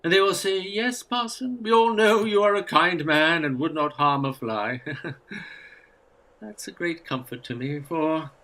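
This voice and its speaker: An actor playing a meek, kindly old English rector in a radio play, hesitant, apologetic and haunted. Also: male voice, on the high side, a little slow, sharp tone. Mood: gentle, troubled. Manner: hesitant, apologetic, nervously chuckling. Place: actor's home.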